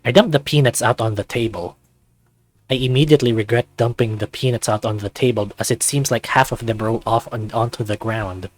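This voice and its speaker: A man's voice: medium pitch, fairly even intonation, fairly quick. A man reading scripted first-person narration from a visual novel.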